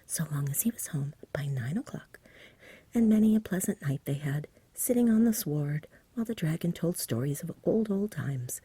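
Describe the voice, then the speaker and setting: A woman softly reading a storybook in a hushed, breathy ASMR whisper with a gentle delivery. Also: deep voice, no echo. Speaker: reader, ASMR host.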